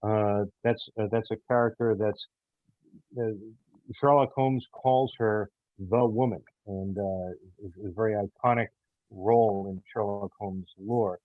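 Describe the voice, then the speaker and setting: A man speaking haltingly as he explains his writing in a casual interview, pausing often mid-sentence. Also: fairly low pitch, steady tone, dry recording. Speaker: writer and creator of an audio theater show. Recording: thin, phone-like call audio.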